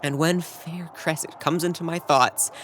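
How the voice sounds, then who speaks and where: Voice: medium pitch, fairly even intonation, a little slow, fairly bright tone, slight background noise, lightly acted. A young performer playing a lovesick, despairing Trojan prince in a Shakespearean audio drama, impassioned and given to sighing declamation. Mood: impatient. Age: young adult.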